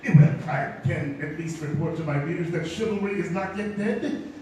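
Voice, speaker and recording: A man's voice: a little high, moderate pace, warm tone, natural voice. A man playing an affable small-town reporter in a comic stage play, genial and eager. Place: live theatre stage. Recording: echoey theatre from far off, faint noise.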